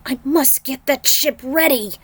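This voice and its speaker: A woman's voice: sits high, measured pace, voice pushed up. A woman playing a resolute male shaman warrior in a fantasy story, earnest and declarative. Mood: urgent.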